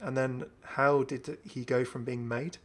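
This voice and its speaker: A man's voice: mid-range voice, steady tone, measured pace. A man giving casual, off-the-cuff video game commentary as a host, chatty and often musing aloud. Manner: chatty, musing aloud, asks himself questions.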